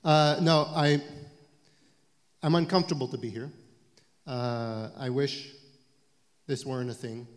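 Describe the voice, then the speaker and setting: A man in his forties, speaking on a panel in a halting, thoughtful way with frequent restarts, in an event hall. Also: medium pitch, fairly even intonation. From United States. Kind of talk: panel talk. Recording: long reverb of a lecture hall.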